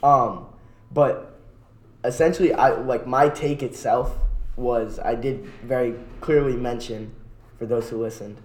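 A man's voice: mid-range voice, a little slow. A young man co-hosting a podcast, debating friends in a slangy, argumentative way with some swearing. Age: young adult. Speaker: podcast co-host. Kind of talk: casual debate among friends. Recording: roomy echo with background noise.

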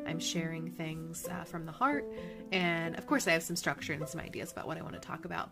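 A woman hosting her own podcast, talking in a casual, upbeat and encouraging way with a slightly nasal voice. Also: fairly low pitch. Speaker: podcast host. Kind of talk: casual motivational monologue.

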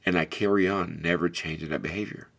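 A man's voice: low voice, fairly even intonation, fast talker, gravelly. A man hosting a reflective motivational talk, speaking earnestly and directly to the listener in a big, carrying voice. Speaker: podcast host.

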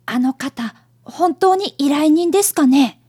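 Woman as high-pitched, cheeky schoolboy detective. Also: expressive, measured pace. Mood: curious, surprised. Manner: polite but sharp-tongued. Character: earnest, cheeky fifth-grade boy apprentice detective. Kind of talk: radio drama acting.

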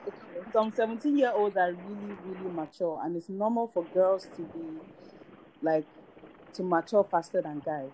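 Measured woman, twenty-one. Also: fairly low pitch, mellow, a little hiss or hum, poor audio. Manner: measured, reasoning aloud, lots of "like". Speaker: podcast guest. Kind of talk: casual group discussion.

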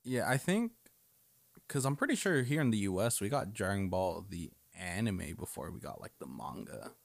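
A man's voice: fairly low pitch, sing-song, normal speed, gravelly, dry recording, studio quality. A man in casual chat, laid-back and slangy.

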